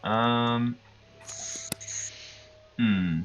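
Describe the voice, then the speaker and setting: A man's voice: mid-range voice, extremely slow, highs cut off, heavy background noise. A man playing a tabletop game, chatting casually and thinking aloud in a hesitant way. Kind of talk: casual chat.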